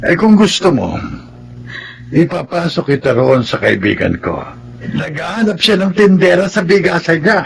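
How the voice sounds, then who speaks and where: Gravelly, kindly old grandfather. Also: on the high side, expressive, normal speed. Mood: helpful. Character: kindly old grandfather giving gentle advice. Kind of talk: Tagalog radio drama acting.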